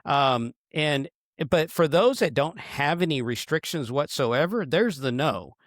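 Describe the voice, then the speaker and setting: A man, a running coach, talking casually on a podcast in a halting, joking way with many restarts. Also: a little high, animated, no room sound, studio quality.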